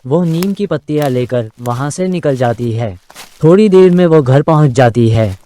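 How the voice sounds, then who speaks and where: A man's voice: medium pitch, lively intonation, moderate pace, mellow. A man narrating a scripted moral story for a children's cartoon.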